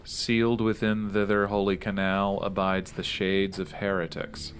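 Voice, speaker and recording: A man's voice: on the low side, monotone, a little slow, slight background noise. A man chatting casually as a player in a tabletop roleplaying game.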